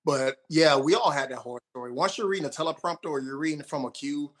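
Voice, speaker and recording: A man's voice: fairly high pitch, fast. A man co-hosting a casual chat, giving encouraging advice in a mentoring way.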